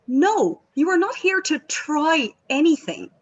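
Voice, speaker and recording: Woman acting a bossy, high-pitched band diva. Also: sing-song, slow, dull audio, exaggerated voice. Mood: irritated, scolding. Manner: imperious, scolding. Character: bossy, short-tempered woman singer, family band leader. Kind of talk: script table read.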